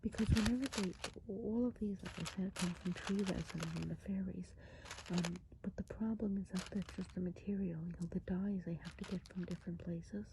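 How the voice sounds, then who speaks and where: A woman doing a hushed, whispered ASMR role play as a friendly woodland witch, explaining things in a gentle, meandering way. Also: lowish voice, steady tone, fairly quick, background noise. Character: friendly Christmas witch of the woods. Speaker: ASMR performer.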